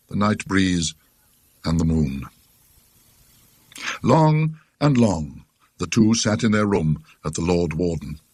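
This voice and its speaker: A British man narrating a classic ghost story in a dry, scholarly, measured literary manner. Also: mid-range voice, breathy edge, dry recording. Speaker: audiobook narrator.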